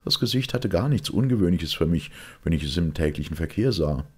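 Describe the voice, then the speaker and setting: A man's voice: sits low, animated, brisk, husky, voice pushed up. A man voicing an impatient, incredulous male secretary in an audiobook, objecting and questioning emphatically. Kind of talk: audiobook character acting.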